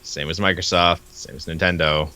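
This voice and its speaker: A man's voice: low-pitched, fairly even intonation, moderate pace, pushed and bright. A man co-hosting in casual banter, blunt and given to swearing. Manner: blunt, profane. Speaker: co-host.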